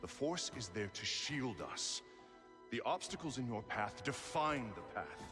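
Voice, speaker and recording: An actor playing an imposing older alien Jedi master in a video game, commanding and deliberate, in long reverb. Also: male voice, medium pitch, animated, music in the background, lightly acted. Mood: stern. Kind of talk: solemn video game acting.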